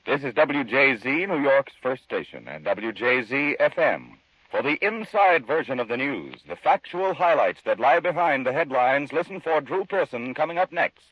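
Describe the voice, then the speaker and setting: Man, station announcer, animated pitch, dry dull old radio broadcast. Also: moderate pace, dry recording. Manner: lively promotional announcing.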